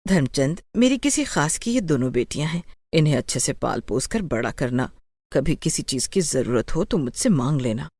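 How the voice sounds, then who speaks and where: A woman's voice: sits low, big pitch swings, normal speed, darkish tone, husky, no room sound, studio quality, voice pushed down, full character voice. A woman voicing a firm, scolding older village mother in a moral story. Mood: earnest. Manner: chiding, firm. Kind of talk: dramatised Hindi moral story acting.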